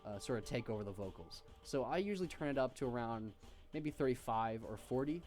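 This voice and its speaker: A man giving an informal, offhand software tutorial with slangy asides. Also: mid-range voice, lively intonation, fairly quick, faint music behind. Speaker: tutorial host. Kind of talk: casual software tutorial narration.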